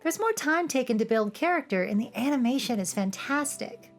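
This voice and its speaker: Female video essayist, high voice, cheeky scripted commentary with music underneath. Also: animated, brisk. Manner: playful, cheeky asides. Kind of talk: scripted, humorous commentary over cartoon clips. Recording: music behind the voice. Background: background music.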